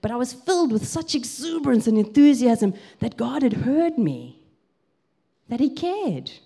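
A young woman with a South African accent, preaching and telling a personal story in a lively way, with frequent ums. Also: fairly high pitch, unhurried, slightly rough. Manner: lively storytelling, gets excited, lots of ums.

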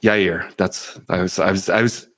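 Low-voiced guy rambling and cussing in a casual chat, jokey. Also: steady tone, measured pace, fairly bright tone.

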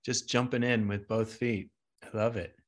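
A man's voice: fairly low pitch, level tone, moderate pace. A man hosting a conversational interview, encouraging his guest with short affirmations and follow-up questions. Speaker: interview host.

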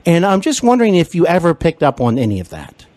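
A man's voice: on the high side, wildly swooping pitch, quick pace, a little mellow, no room sound. A man hosting a conversational interview, effusive and deferential, often feeling his way through his phrasing. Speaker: podcast host and interviewer.

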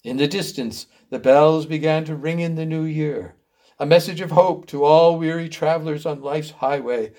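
A man's voice: a little high, fairly even intonation, moderate pace, mellow, natural voice. An actor playing a sentimental, somewhat pompous male author who narrates his story in a theatrical literary style. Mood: earnest, sentimental.

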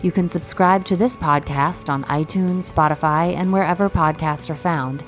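A woman's voice: lowish voice, normal speed. A woman announcer reading scripted podcast credits. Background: music.

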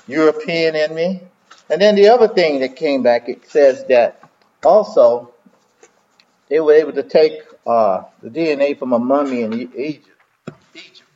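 A man's voice: mid-range voice, darkish tone, highs cut off. A man, a minister and host talking casually, rambling with repeated words and many fillers. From South Carolina. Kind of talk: casual talk to listeners.

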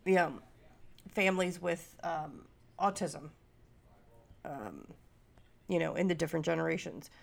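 A woman's voice: fairly low pitch, measured pace, creaky, long pauses. A middle-aged woman hosting a podcast, talking casually, nasal and stuffy from a cold, rambling with many fillers. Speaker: podcast host.

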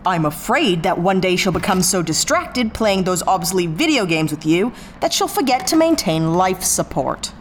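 A woman's voice: on the low side, big pitch swings, normal speed, few pauses, a little hiss or hum. A woman playing a no-nonsense spaceship captain in a sci-fi audio drama, dry, bossy and sarcastic. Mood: exasperated. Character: brisk, no-nonsense female spaceship captain, sardonic and exasperated.

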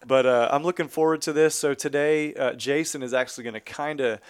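A man's voice: fairly high pitch, steady tone, a little fast, clean tone, no echo. A man hosting a church podcast in an easygoing, folksy way with some light teasing. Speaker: podcast host.

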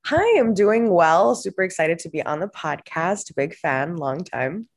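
A woman's voice: on the low side, lively intonation, unhurried. A young woman from Honduras, a podcast guest talking casually with frequent fillers and restarts. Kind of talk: casual interview answers.

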